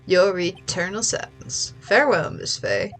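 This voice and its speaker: A woman with a stuffy low voice voicing a pompous, smug male villain who speaks with gloating courtly menace in a video game read-along. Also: unhurried, faint music behind, lightly acted, low-quality recording.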